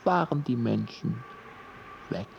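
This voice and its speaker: An actor playing a storyteller musing on lost time in a theatrical, poetic radio play, speaking in halting fragments with long pauses. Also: male voice, medium pitch, expressive, gravelly, slight background noise, exaggerated voice. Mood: dramatic, musing. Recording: muffled lo-fi audio with music. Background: prominent music.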